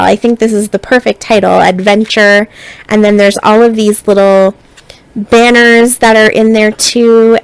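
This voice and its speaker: A woman narrating a scrapbooking tutorial in a relaxed, chatty way, sounding a little stuffy as she gets over being sick. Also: mid-range voice, clean tone, no echo, rough recording. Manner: relaxed, chatty step-by-step explaining. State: stuffy, recovering from illness. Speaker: scrapbooking designer.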